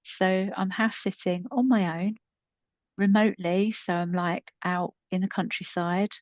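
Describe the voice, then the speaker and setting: A woman's voice: medium pitch, fairly even intonation, unhurried, a little mellow, no echo, dull audio. A middle-aged woman co-hosting a podcast in casual, unscripted chat, explaining things conversationally. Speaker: podcast co-host and storytelling creator.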